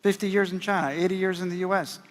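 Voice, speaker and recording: High-pitched male executive, conversational, echoing conference stage. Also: fairly even intonation, fast talker. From United States. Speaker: panelist, business executive. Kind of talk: panel discussion. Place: large conference hall stage. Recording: long hall reverb.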